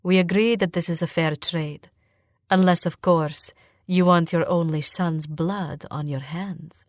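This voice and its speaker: A woman reads the part of a menacing raider thief in an audiobook. He is cool and deliberate, delivering his terms without hurry in a dry, close recording. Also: fairly low pitch, steady tone, no room sound, natural voice. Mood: cool bargaining. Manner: unhurried, deliberate.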